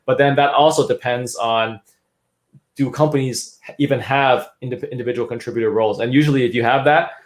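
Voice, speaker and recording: A man's voice: mid-range voice, fairly quick. A man from Canada, a guest expert explaining career change in an interview. He talks things through with restarts and frequent tag questions. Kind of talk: interview talk.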